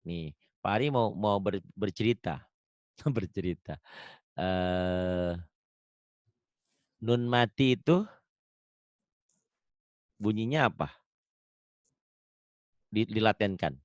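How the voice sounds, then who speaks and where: A man's voice: fairly low pitch, takes it slowly, pauses often, no room sound, muffled recording. A man teaching Quran recitation online, patiently drilling pronunciation and laughing often. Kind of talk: online tajwid lesson.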